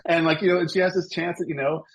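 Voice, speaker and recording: Guest, man, 50s: quick, eager movie talk, tripping over repeated words. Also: a little high. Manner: energetic, enthusiastic, repeats words when excited. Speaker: podcast guest. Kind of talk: casual film podcast chat.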